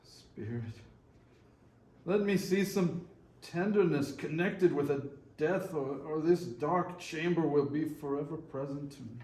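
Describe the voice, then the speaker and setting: A man playing a frightened old miser in a readers theater drama, loud and pleading in an echoey room. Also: fairly high pitch, measured pace, lightly acted. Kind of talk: readers theater stage acting.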